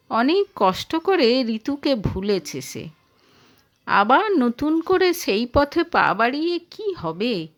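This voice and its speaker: A woman narrating a scripted romantic audio story in an expressive, emotive way. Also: sits high.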